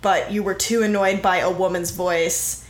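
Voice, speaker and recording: A woman's voice: lowish voice, fairly even intonation, moderate pace. A young woman co-hosting a podcast in casual, sarcastic chat. The room is echoey, with a faint hiss behind her. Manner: sarcastic, chatty. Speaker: podcast co-host.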